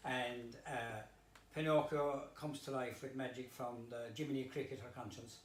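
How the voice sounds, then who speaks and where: A man's voice: mid-range voice, fairly even intonation, moderate pace. An older man with a Kerry Irish accent chatting in a jovial, playful and droll way. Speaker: pantomime producer, scriptwriter and performer. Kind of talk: jovial chat. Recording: echoey room, noticeable background noise.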